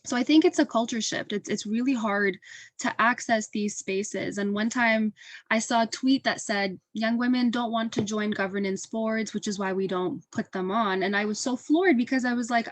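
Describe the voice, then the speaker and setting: A woman's voice: a little high, fairly even intonation, moderate pace, no room sound. A young woman answering as a panelist in a reflective, conversational and personal way, earnest in her delivery. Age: young adult. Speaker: webinar panelist. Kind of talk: earnest spoken interview answer.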